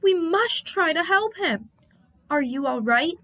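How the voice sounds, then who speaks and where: Lady doing a fretful little storybook train boy, high voice, grumbly and whiny. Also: big pitch swings, a little slow, sharp tone, full character voice. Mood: urgent. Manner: grumbling and crying out. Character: proud, fretful, easily upset male little mail engine.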